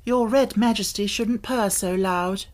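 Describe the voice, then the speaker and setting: A woman's voice: mid-range voice, a little slow, pitched above the performer's own voice, natural voice. A woman voicing a spirited, bossy yet affectionate young girl in a storybook audiobook, with emphatic, scolding and coaxing delivery. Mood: fond scolding.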